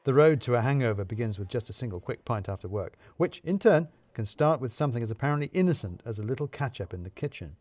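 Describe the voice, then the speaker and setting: A man's voice: mid-range voice, expressive, quick pace, hardly any pauses, a little mellow, dry recording. A man narrating a scripted comic story in the first person, with a wry, wordy, theatrical manner.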